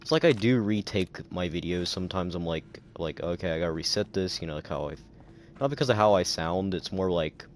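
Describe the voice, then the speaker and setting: A tired male streamer with a very deep, low voice, rambling along with many fillers and a dry throat. Faint noise sits behind him. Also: expressive, a little fast. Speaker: livestreamer. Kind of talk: casual livestream chat.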